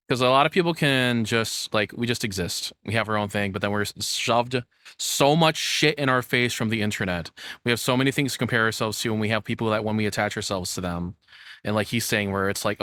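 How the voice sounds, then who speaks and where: A man's voice: fairly low pitch, fairly bright tone, dry recording. A young man giving casual reaction commentary as a streamer, rambling and frank with some swearing.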